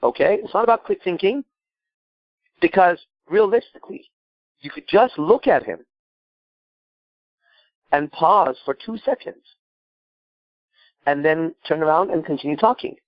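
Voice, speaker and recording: A man with a fairly high voice coaches a caller in an emphatic, repetitive way, leaning on rhetorical questions and blunt language. The sound is very dry and a little dull, like a lo-fi live talk show. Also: animated, measured pace, long pauses, dry recording. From Iran. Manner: emphatic, profane, repeats himself, asks rhetorical questions. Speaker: podcast host and coach. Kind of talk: live call-in advice, improvised coaching.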